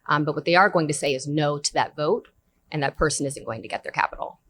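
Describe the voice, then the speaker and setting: A woman's voice: low voice, fast, fairly bright tone. A woman on a conference panel, speaking earnestly and emphatically. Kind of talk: panel discussion. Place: conference stage.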